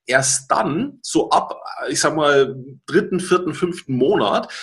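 A man's voice: a little high, expressive, slow pace, a bit bright. A man, a native German speaker, presenting an explanatory webinar as a language-learning coach in a didactic way, repeating key words and checking in with listeners.

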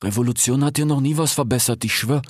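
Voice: mid-range voice, quick pace, no room sound, clean studio sound, pitched above the performer's own voice, natural voice. A man voicing a cautious, peace-minded male fennec fox in a storybook, pleading and adding little verbal tics. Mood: wary. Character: cautious, pacifist male fennec fox, haggling merchant type.

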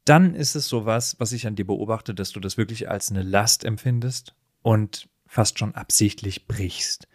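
A man's voice: lowish voice, unhurried, dry recording. Analytical man in close, dry sound. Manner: analytical, argues point by point. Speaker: podcast co-host. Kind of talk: casual bantering conversation.

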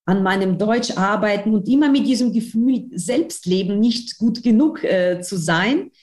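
A woman's voice: mid-range voice, a little slow, darkish tone. A woman speaking German as a second language with a foreign accent, giving a personal account in an interview and repeating words for emphasis. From outside Germany. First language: not German. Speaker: interview guest, newspaper editor and trainer of trainees.

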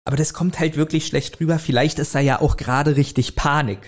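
A man's voice: a little high, steady tone, a little fast. A man co-hosting a podcast, casually commenting and thinking aloud in loose phrases. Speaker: podcast co-host. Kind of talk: casual chat, commenting on an audio drama.

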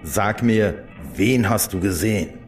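An actor playing a cold, ruthless villain commander with an imperious, menacing manner in a German audio drama. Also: male voice, fairly low pitch, measured pace, soft background music, close to an everyday voice. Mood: cold, commanding.

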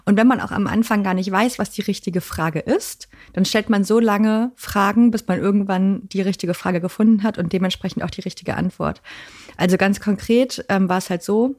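A woman's voice: medium pitch, a little mellow. Chatty woman founder, lots of fillers. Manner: chatty, many fillers, quick asides. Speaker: founder and podcast guest. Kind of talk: casual interview chat.

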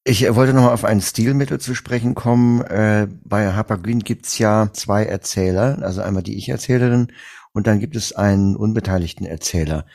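A man conducting a conversational interview, asking in a halting way and rephrasing as he goes. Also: fairly low pitch, fairly even intonation, gravelly, darkish tone.

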